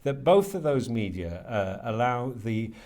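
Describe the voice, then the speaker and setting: A British man in his fifties gives thoughtful interview answers. He speaks in a mid-pitched voice and often stops, repeats a word and starts again while he looks for the right phrase. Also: lively intonation, a little mellow. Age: 50s. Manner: hesitant, halting, searching for words with repeated starts. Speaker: interviewee, writer and lecturer. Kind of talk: reflective interview talk.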